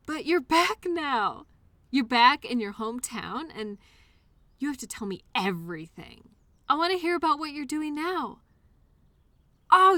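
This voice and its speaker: An innocent imaginary friend played by a woman talks straight to the listener in an intimate audio drama. The voice is high and bright, recorded close and dry. The delivery is earnest and full of childlike wonder, with a wistful, hesitant edge. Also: dry recording, heavily acted. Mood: excited, delighted. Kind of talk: intimate scripted audio roleplay monologue addressed to listener.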